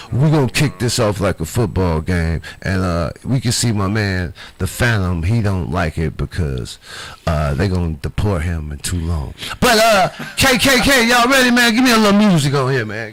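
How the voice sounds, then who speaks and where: A man's voice: lowish voice, fairly bright tone. A man from Chicago hosting a livestream show, talking in a loose, profane, swaggering way with street slang and a Chicago accent. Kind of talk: loose improvised banter.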